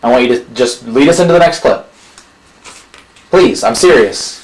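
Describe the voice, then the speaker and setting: A man's voice: medium pitch, expressive, moderate pace, close to an everyday voice, low-quality recording. A man playing an impatient director in a comedy sketch, blunt, insistent and condescending. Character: blunt, impatient male off-camera director pressuring his presenter.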